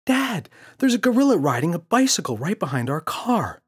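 A man voicing an excited, pleading little boy in a children's storybook, frantic and insistent. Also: high-pitched, normal speed, slightly rough, voice pushed up, exaggerated voice. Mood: urgent, amazed.

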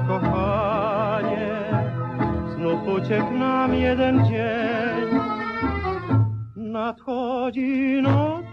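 A man's voice: extremely high pitch, husky, no room sound, highs cut off. A man crooning a dance-band song on an old 1930s gramophone recording.

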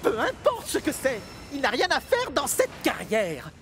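A grumpy little locomotive from a children's cartoon, competitive and excitable. The pitch is high and leaps around a great deal. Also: male voice, a little slow, noisy background, exaggerated voice. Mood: indignant.

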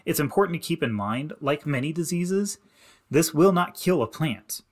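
A man narrating a slideshow lesson as an extension educator, giving plain, practical advice in a steady instructive way. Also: fairly high pitch, moderate pace. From Indiana. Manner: plain, practical and advising, with many "you need to" instructions. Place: voice-over to slides.

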